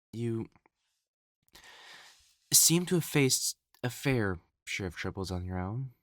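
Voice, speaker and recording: A man performs an elegant young male matchmaker from ancient China in an audio drama. He is pompous and quickly exasperated, with highly animated pitch and a measured, slow delivery. The phrasing is formal and old-fashioned, and the sound is close and dry. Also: no room sound. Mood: dry, condescending. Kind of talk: scripted audio roleplay acting.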